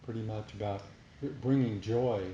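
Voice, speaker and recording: A man giving a reflective spiritual talk to a group as a meditation teacher, speaking deliberately with pauses between short phrases. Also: lowish voice, fairly even intonation, warm tone, dull audio. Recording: roomy echo, faint background noise.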